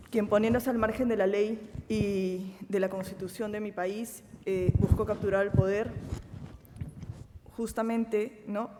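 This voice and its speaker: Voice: mid-range voice, a little mellow, slight background noise. A panelist from Peru with a Peruvian Spanish accent, giving a formal conference speech in a solemn, emphatic way. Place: large conference hall. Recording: long hall reverb.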